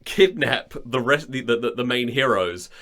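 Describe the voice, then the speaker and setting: A man co-hosting a casual studio conversation, speaking informally and haltingly, repeating words as he goes. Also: medium pitch, expressive, a bit bright. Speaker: podcast co-host. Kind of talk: casual chat. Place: recording studio.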